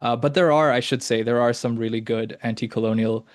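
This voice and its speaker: A young man hosting a podcast, talking in a halting way with many fillers and restarts. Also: medium pitch, fairly even intonation, dry recording. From Hong Kong and mainland China. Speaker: podcast host. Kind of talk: casual discussion.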